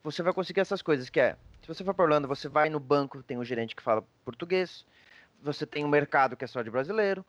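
A man's voice: a little high, fast talker, no room sound, lo-fi sound. A man with a Brazilian accent telling personal anecdotes in a casual, slangy, rambling conversational way.

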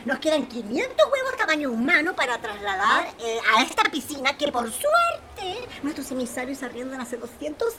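A woman acting a shrill, hot-tempered, bossy alien egg guardian in a campy parody radio play. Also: huge pitch swings, moderate pace, few pauses, very noisy. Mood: whiny, instructive. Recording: loud music behind the voice.

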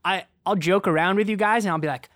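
Young man, slightly raised pitch, chatty and self-deprecating, close dry mic. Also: fast talker, no echo. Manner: animated, self-mocking, lots of "like". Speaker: co-host, runner. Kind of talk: casual chat.

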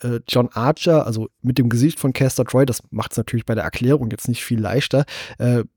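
Guy hosting a movie podcast, husky voice, pauses mid-thought, dry close recording. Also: mid-range voice, darkish tone, dry recording. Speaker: podcast host. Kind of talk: casual film discussion.

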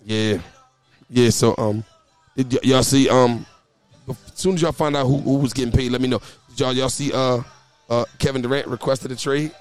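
A hoarse man hosting a casual talk show, often stammering and repeating his words as he speaks outdoors. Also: medium pitch, fairly even intonation, normal speed. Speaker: podcast host and youth basketball coach. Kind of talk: casual chat. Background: faint background music.

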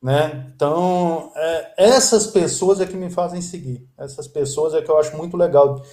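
A man in his fifties from Brazil, a guest telling stories in a relaxed, chatty way. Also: a little high, moderate pace, echoey room. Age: 50s. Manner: storytelling, lively and chatty. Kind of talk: casual interview chat.

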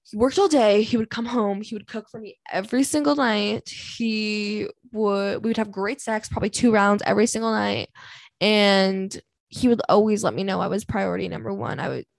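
A woman in her twenties from Canada talks through a casual vlog in a loose, rambling way, with lots of "like" and "um". Her voice sits at an ordinary middle pitch with a gravelly fry at the ends of phrases. The sound is dry and close, with no room around it. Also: normal speed, no echo. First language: English. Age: 20s. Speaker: vlogger answering viewer questions. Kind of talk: casual chat.

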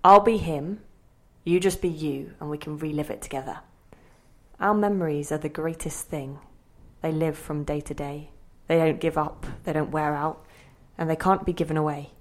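A woman's voice: low voice, normal speed, natural voice. A woman acting a mysterious guide to memories in an audio play, dry, playful and conversational, forever questioning. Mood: musing, playful.

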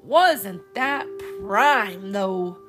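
A woman voicing a restless, greedy boy who complains loudly and drags out his words. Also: medium pitch, expressive, slow, faint music behind. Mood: grumbling.

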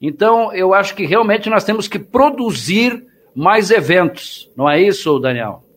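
A man, hosting an interview and posing measured, leading questions. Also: high-pitched, animated. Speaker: interviewer host. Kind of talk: interview conversation.

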